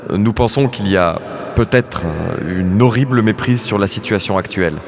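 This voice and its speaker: Man playing an earnest, lowish-voiced insect veteran. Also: normal speed, big-room sound, lightly acted. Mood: nervous, deferential. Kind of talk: audio drama acting.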